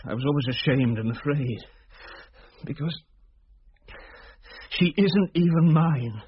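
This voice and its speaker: An actor playing an anguished, ashamed father who speaks haltingly and pleadingly in a radio drama. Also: a little high, breathy edge, muffled recording. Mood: grieving confession. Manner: halting, pleading, seeking reassurance. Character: anguished, ashamed father confessing a long-kept secret.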